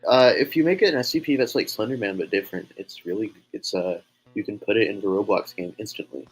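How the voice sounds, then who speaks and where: Low, slightly husky man over guitar music. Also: fast, poor audio. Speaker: livestream co-host. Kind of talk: casual banter on a livestream. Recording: music playing behind the voice.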